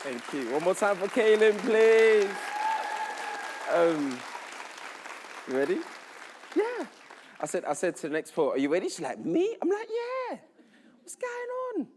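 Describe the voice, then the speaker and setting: A man's voice: sits high, a little slow, pauses often. A man from Nigeria hosting a live poetry event, joking and calling on the audience to cheer for each poet. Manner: energetic, joking, calls for cheers. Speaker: event host. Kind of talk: hyping up a live crowd. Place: indoor conference hall stage. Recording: live event with loud crowd noise.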